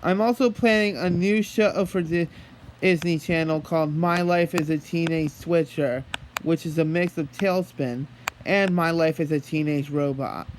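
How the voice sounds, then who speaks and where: A man talking in an earnest, halting way, as if holding a one-sided phone conversation. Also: on the high side, slight background noise, rough recording. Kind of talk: one-sided pretend phone call, rambling monologue.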